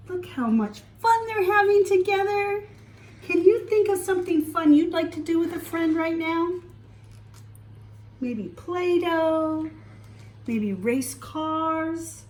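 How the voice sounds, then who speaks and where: A woman storytime teacher reading aloud to preschoolers, expressive, with questions for the young listeners. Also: very high voice, takes it slowly, long pauses, reverberant, recorded from a distance.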